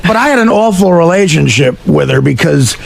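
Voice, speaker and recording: Excitable man, high gravelly voice, crude casual banter with chatter around him. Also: a little fast.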